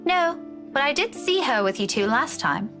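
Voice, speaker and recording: A woman's voice: high-pitched, sing-song, moderate pace. Frank woman, music underneath. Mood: matter-of-fact. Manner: frank, teasing, lecturing. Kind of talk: dramatic visual novel voice acting.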